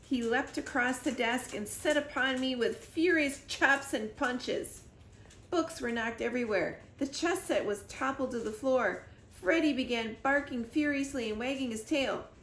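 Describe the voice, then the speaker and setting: A woman's voice: high-pitched, measured pace, a bit bright. A woman reading a children's story aloud expressively, as a library storyteller. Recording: roomy echo with clear background noise.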